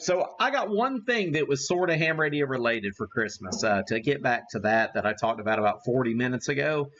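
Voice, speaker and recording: A man hosting a live hobby stream, giving a casual demo and thinking aloud in a halting way. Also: medium pitch, a bit bright, highs cut off. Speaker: ham radio live stream host.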